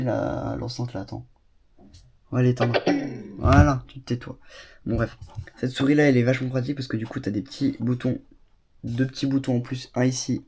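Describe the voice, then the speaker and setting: A man with a cold gives an excited tour of his small home studio. He chats casually and keeps drifting into asides and fillers. His voice sits at an ordinary middle pitch. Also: a little hiss or hum. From Bordeaux, France. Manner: chatty, enthusiastic, many fillers and asides. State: has a cold. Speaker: YouTuber showing his studio.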